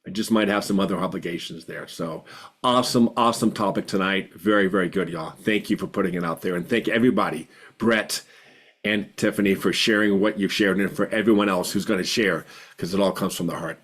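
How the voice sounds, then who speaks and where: A man's voice: low voice, brisk, fairly bright tone, slightly rough. Earnest man in his fifties who stutters. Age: 50s. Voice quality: stutters, with repeated words and restarts. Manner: earnest, reflective, emphatic repetition. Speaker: support group participant. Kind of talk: personal sharing in a group call.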